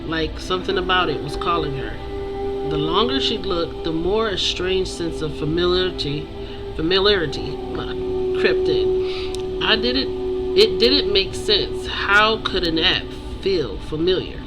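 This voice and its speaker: An author presenting their own book in a nervous, halting voice with small stumbles and self-corrections. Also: mid-range voice, expressive, poor audio. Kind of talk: read-aloud fiction, then casual promotion. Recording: music playing behind voice. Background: music.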